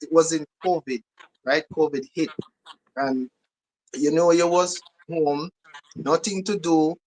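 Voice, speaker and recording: A man's voice: a little high, fairly even intonation, takes it slowly, darkish tone, poor audio. Guy talking up his channel, upbeat. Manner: upbeat, promotional. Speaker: YouTuber guest. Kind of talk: casual chat.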